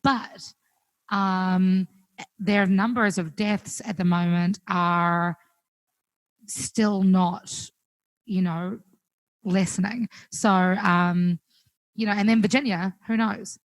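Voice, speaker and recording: A young woman with a New Zealand accent, chatting casually as a guest musician, full of fillers and quick laughs. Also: medium pitch, steady tone, dry recording. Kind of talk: casual chat on a call. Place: home, recorded remotely.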